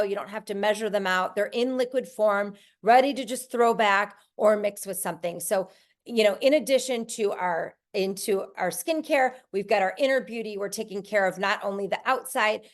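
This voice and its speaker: A woman talks in an upbeat, chatty way, full of enthusiasm as she presents. Her pitch sits in the middle range, and she is heard through a video call. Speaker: team leader, presenter.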